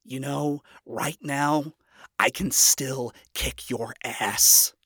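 A man's voice: medium pitch, steady tone, drawn-out words, bright, forceful tone, husky, dry recording, clean studio sound, full character voice. A man voicing a proud, irritable devil father in a story, speaking in a wounded, defensive way. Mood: indignant. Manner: indignant, defensive.

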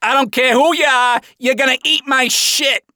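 A man voicing a crude, aggressive stage musician who yells threats in an audio story. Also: extremely high pitch, fairly even intonation, moderate pace, no room sound, studio quality, voice pushed up, heavily acted. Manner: yelling, crude.

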